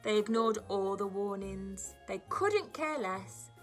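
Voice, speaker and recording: A woman, a primary school teacher, reading aloud to young children in an expressive storybook style with dramatic emphasis. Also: mid-range voice, slow, faint music behind.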